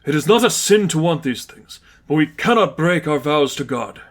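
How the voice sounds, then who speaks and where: Man playing a calm, firm old priest. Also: fairly high pitch, voice pushed up. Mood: solemn, wistful. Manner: measured, solemn.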